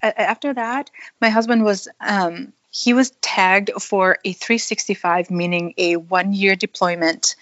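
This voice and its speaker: A woman who grew up in Thailand, telling her life story in an unhurried, thoughtful interview, with small laughs. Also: mid-range voice, fairly even intonation, a bit bright, no echo, dull audio. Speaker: podcast guest. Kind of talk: conversational interview.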